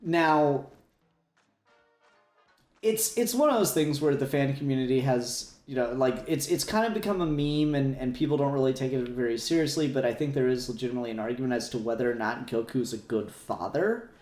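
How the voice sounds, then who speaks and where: A man's voice: mid-range voice, brisk, darkish tone. A man chatting casually as a podcast co-host in a fan discussion, rambling with emphatic enthusiasm. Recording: roomy echo, music behind.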